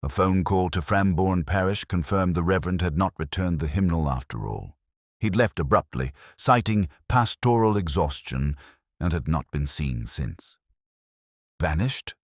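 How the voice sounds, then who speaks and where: A man narrating a scripted mystery in a measured, wry literary manner. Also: sits low, animated, no room sound, studio quality.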